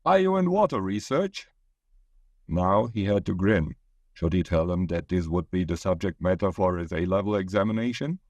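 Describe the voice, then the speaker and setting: A man narrates a written short story in a fairly low voice. The recording is dry and close, with no room around the voice. He reads as the author of the piece. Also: moderate pace, a little mellow, no echo.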